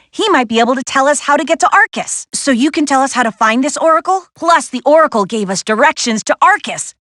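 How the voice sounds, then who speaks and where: A woman voicing a confident, spirited young adventurer in a video game, briskly giving missions with an earnest, lightly wry manner. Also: sits high, a bit bright, no room sound, studio quality. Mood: upbeat. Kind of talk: video game character voice acting.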